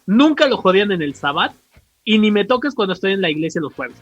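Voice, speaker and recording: A man's voice: sits high, big pitch swings, lo-fi sound. A man with a Mexican accent explaining comics lore in a casual, slangy podcast chat, often restarting and correcting himself. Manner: informal, slangy, halting with self-corrections. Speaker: podcast co-host.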